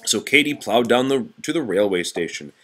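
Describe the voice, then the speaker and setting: A man reading a children's picture book aloud to a child in an expressive, patient way. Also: medium pitch, normal speed, slightly rough. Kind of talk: storybook read-aloud to a child.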